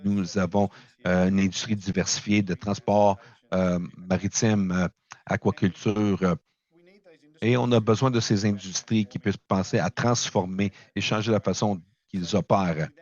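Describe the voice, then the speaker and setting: Low-voiced man on a muffled call. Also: fairly even intonation, a little mellow. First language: not French. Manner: halting, many fillers and restarts. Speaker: presenter at a launch event. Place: remote location, speaking in from afar.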